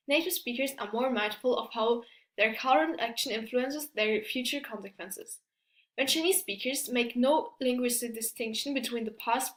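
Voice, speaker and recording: Hesitant young woman presenting, echoey room. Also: fairly high pitch. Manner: hesitant, many restarts and fillers, non-native English phrasing. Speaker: student presenter. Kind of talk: class presentation.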